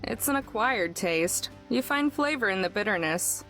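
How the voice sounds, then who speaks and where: An aloof young woman from a visual novel, with a mid-range female pitch. She is dry and reserved, and music plays softly behind her. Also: lively intonation, normal speed, low-quality recording. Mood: deadpan.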